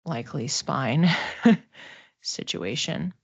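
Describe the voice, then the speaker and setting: A woman giving an improvised psychic reading as a medium, speaking tentatively and haltingly as she searches for words. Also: low voice, expressive, a little mellow. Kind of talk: intuitive reading, spoken off the cuff to a live chat. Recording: slightly dull, roomy sound.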